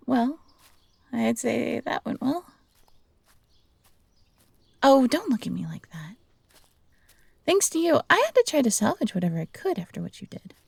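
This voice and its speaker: A woman playing a wry fox hybrid traveller in a fantasy audio roleplay, with a breathy, gravelly voice. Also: a little high, big pitch swings, unhurried, pauses often, no room sound, full character voice. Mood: sardonic, scolding.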